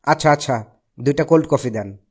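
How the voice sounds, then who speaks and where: A man voicing a brisk, no-nonsense uncle in a cartoon. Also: medium pitch, breathy edge, natural voice. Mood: exasperated. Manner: brisk, bossy. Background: music.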